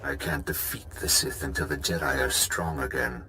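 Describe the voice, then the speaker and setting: An actor playing a bitter, vengeful fallen Jedi master, speaking with cold menace in a game cutscene. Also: male voice, rumbling low, normal speed. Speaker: villain in a video game cutscene. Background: game score music.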